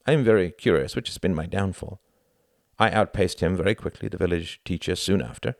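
A man's voice: sits low, a little fast, vocal fry, no echo, studio quality. A man voicing an earnest, brooding young German man with a wistful, heartfelt manner.

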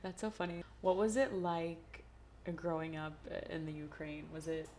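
Woman host, lower voice, breezy filler-heavy chat. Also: normal speed, dark tone. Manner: chatty, upbeat, lots of likes and ums. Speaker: podcast host. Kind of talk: casual podcast chat.

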